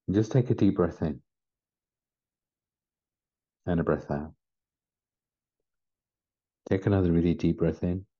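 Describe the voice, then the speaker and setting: A man leading a guided meditation in a gentle, soothing manner, with short unhurried instructions. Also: deep voice. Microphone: video call. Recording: slightly muffled video call audio.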